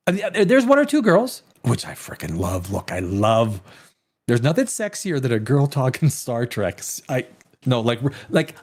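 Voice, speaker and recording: A middle-aged man who grew up in Canada, hosting a casual talk show, rambling and animated, restarting sentences and dropping to a near whisper at times. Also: fairly high pitch. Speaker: host.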